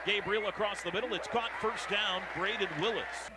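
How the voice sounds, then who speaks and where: An American man with a high-pitched voice calls live football on the radio. He speaks in clipped, urgent play-by-play phrases over a roaring stadium crowd and heavy noise. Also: fairly even intonation. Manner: clipped rapid-fire phrases, urgent calls on big plays. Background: loud stadium crowd.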